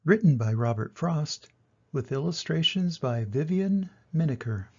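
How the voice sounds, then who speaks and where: A man's voice: on the high side, expressive, unhurried, a little mellow, breathy edge, highs cut off. An older man, a grandfather, reading and explaining to young children in a plainspoken, direct way, on a home recording.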